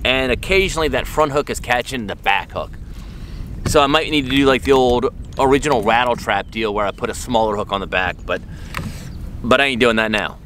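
A man's voice: medium pitch, a little fast, a bit bright. Folksy man vlogging over faint outdoor noise. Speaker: fishing show host. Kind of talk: casual enthusiastic vlog talk. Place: open boat on a lake.

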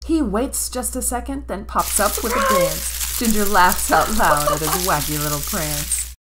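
A woman narrating a children's picture book in an expressive, rhythmic read-aloud style. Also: medium pitch, a little slow, background noise. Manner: rhythmic rhyming delivery, expressive. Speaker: storybook narrator.

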